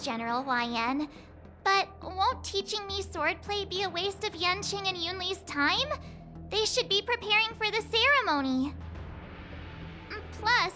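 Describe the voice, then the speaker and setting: A bubbly, naive teenage girl from a video game. Her voice is very high and girlish, and she chatters earnestly over clearly audible music. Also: pushed and bright, heavily acted. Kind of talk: game cutscene voice acting.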